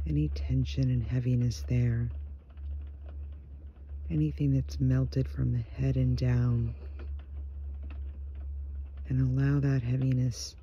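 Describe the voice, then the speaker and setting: Slow, gravelly, mellow man guiding meditation. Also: medium pitch. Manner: gentle, hushed, unhurried pauses between phrases. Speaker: meditation guide. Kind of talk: guided meditation, slow soothing narration. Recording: muffled, dull home recording with faint noise.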